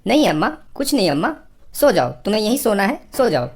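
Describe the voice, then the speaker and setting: An actor voicing a young, henpecked husband and son in an animated family comedy, pleading and submissive in manner. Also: male voice, high-pitched, fast, noisy background. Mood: resigned. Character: henpecked, placating grown son caught between mother and wife. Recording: music bed under the voice.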